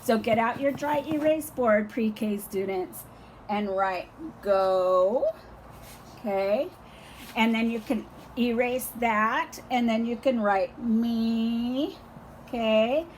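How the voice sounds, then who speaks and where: Slow, hoarse older woman teaching outdoors. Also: a little high, slight background noise, low-quality recording. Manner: slow, patient, encouraging. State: hoarse, losing her voice. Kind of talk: home lesson for young children.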